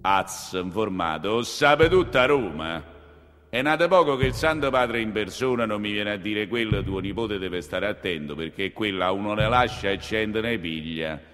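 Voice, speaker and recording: A man voicing a weary, wary high-ranking Neapolitan prelate with a Neapolitan accent, in stage-monologue style. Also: moderate pace, a bit bright, lightly acted. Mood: indignant. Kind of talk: theatrical monologue acting. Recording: long hall reverb, music behind.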